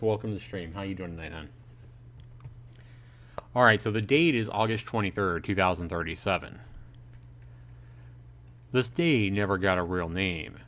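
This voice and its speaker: A man with a lowish voice hosts a casual gaming livestream. He moves between reading story text aloud and commenting on it in an informal, joking way, talking directly to his chat. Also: fairly bright tone. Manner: informal, joking, chatty with viewers. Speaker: livestreaming gamer reading a visual novel to chat.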